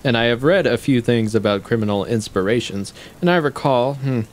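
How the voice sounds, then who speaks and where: An actor playing a sceptical, composed confidant with formal, measured questioning in a stage play. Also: male voice, mid-range voice, lightly acted. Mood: sceptical, reasoning. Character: sceptical, probing, morally composed male confidant.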